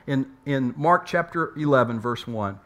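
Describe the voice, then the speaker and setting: A man's voice: medium pitch, expressive, measured pace, fairly bright tone. A man preaching a sermon in a conversational way, asking rhetorical questions and sometimes repeating words as he goes. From United States. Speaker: pastor.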